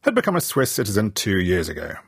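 A man presenting a scripted radio news feature, reading his narration with lively delivery. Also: lowish voice, fast talker, vocal fry, breathy edge, no room sound, clean studio sound.

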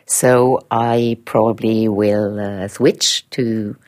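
A woman's voice: rumbling low, steady tone, drawn-out words, a little mellow. A Swiss woman with a Swiss accent, answering questions in a radio interview, thoughtful and hesitant with many fillers. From Switzerland. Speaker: interviewee, head of a language department.

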